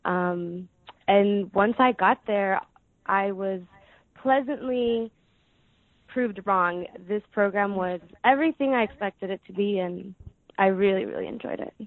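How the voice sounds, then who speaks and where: A young woman answers questions in an easy, unhurried way, pausing often with fillers and soft laughs. Her voice sits at a middle pitch. The sound is dry and tinny, as if carried over a phone line. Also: no echo. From United States. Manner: hesitant, many ums, soft laughs. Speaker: guest. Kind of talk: casual interview answers.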